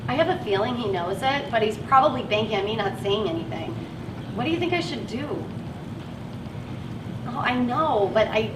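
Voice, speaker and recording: A woman's voice: a little high, natural voice. An actress on stage playing a frustrated working woman who drives a van and feels stuck in her job. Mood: dejected. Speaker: actress in a one-act play. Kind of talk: live stage play acting. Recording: echoey, distant, noisy live stage recording.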